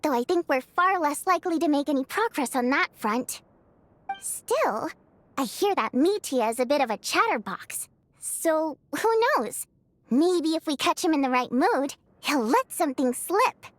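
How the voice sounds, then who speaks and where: Very high, animated young songstress. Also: female voice, measured pace, no echo. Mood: musing. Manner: animated, coaxing, theatrical. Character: bubbly young female opera singer, chatty and scheming. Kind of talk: video game character dialogue.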